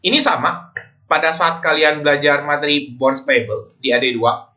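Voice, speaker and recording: A man's voice: on the high side, normal speed, sharp tone, muffled recording. A man with an Indonesian accent giving an informal explanatory lecture as a teacher, in a conversational way that often checks in with listeners. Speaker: accounting lecturer.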